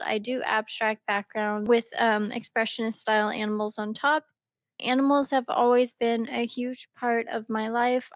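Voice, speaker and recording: A young woman who is a little sick, gently narrating her art process in a chatty, upbeat way. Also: fairly high pitch, dry recording, studio quality. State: slightly sick. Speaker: art vlogger. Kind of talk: relaxed art tutorial narration.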